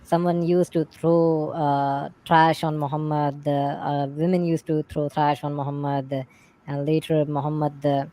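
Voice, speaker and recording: A man whose first language is Urdu, speaking English haltingly as a stream guest. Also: a little high, flat delivery, mellow. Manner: halting, searching for words in English. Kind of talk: livestream discussion.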